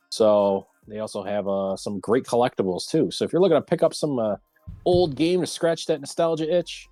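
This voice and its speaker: A man's voice: medium pitch, warm tone, no room sound. Male talk show host, close dry sound. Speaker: host of a community round table show. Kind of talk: casual hosting and chat on a livestreamed talk show.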